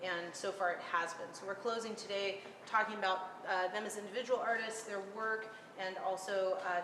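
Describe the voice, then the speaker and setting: A woman moderates an artists' panel in a chatty way, adding laughing asides. Her mid-pitched voice carries a long reverb through the gallery over a clear noise floor. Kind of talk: unscripted panel hosting. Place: art gallery.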